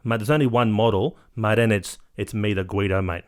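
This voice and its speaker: A man's voice: on the low side, steady tone, brisk, clean tone, natural voice. A man voicing a cocky, boastful male ladies' man who cuts in and says "mate" nearly every line. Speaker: comedic character guest.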